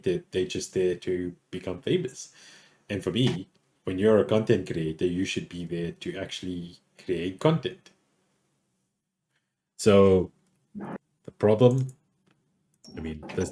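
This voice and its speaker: A man with a deep, gruff voice giving casual advice on content creation in a rambling way, full of restarts. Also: mellow. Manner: rambling, many restarts and fillers. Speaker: podcast host.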